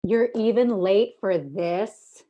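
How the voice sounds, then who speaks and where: Woman acting a slow, sardonic sales manager. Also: medium pitch, mellow, highs cut off. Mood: sarcastic. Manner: drawling, sardonic. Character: Amy, sarcastic female sales manager. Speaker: actor in a sitcom table read. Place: indoors, on a video call.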